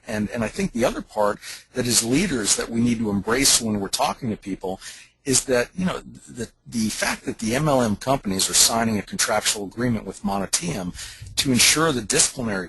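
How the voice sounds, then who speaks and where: A man making an emphatic, persuasive sales pitch on a conference call, like a company leader selling an opportunity. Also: fairly bright tone, slight background noise, low-quality recording.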